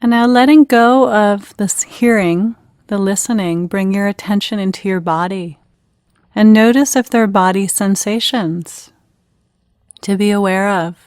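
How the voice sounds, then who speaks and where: A woman gives guided meditation instructions at a slow, measured pace. Her phrasing is gentle and unhurried, broken by pauses, and her mid-pitched voice sits in a dry space with no room echo. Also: steady tone.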